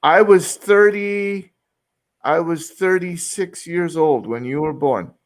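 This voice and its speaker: Man, 60s, teacher, slightly raised pitch, chatty and self-deprecating. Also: slow pace. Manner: reflective, rambling, self-deprecating. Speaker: English teacher. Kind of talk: casual group chat.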